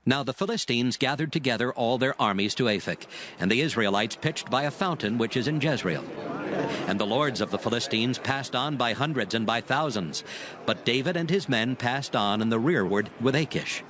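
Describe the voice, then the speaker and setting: A man's voice: medium pitch, expressive, moderate pace, a bit bright. A man narrating scripture dramatically from a script in archaic English. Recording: music under the voice.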